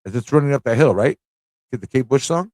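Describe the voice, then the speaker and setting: A man's voice: medium pitch, lively intonation, quick pace, darkish tone, no room sound. A man hosting a casual live-stream trivia show, chatty and playful, often thinking aloud to his viewers. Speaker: host of a live pop culture show.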